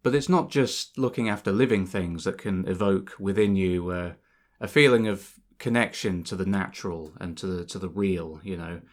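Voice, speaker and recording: A young man with a deep, low voice, nasal and slightly sore from a cold. He rambles at an unhurried pace, thinking aloud with plenty of ums and restarts. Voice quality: slightly sore, bunged-up throat. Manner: unhurried, reflective, many fillers and restarts. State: congested from a cold. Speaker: channel host. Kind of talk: rambling casual monologue. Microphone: voice-over over gameplay footage.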